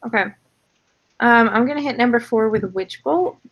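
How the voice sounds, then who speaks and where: A woman's voice: on the high side, moderate pace, no echo, lo-fi sound. A woman chatting casually as a player in an online tabletop roleplaying game.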